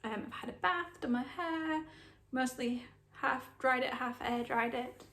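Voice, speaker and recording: A woman's voice: sits high, a little slow, sharp tone. A woman vlogging at home, chatty and rambling, sniffly with a sore throat. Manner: chatty, rambling, self-deprecating. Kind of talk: casual chat to camera. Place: home room indoors. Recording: roomy echo.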